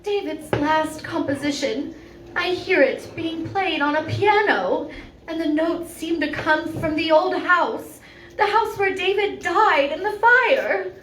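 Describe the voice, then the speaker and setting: A fragile, haunted widow, acted by a woman in an old-fashioned radio melodrama. Her voice is high and fretful, taking its time, heard from off the mic with some noise in the room. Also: slow, a bit bright, exaggerated voice. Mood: anxious. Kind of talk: 1940s radio drama acting. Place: live stage performance in a venue. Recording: roomy, distant mic, background noise.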